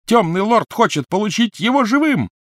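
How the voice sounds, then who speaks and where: A man's voice: medium pitch, big pitch swings, normal speed, pushed and bright, dry recording, clean studio sound, pitched above the performer's own voice. A man voicing a proud, nervous teenage boy with a cracking voice, irritated and commanding. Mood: panicked. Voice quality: cracking, brittle voice. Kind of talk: audiobook character voice.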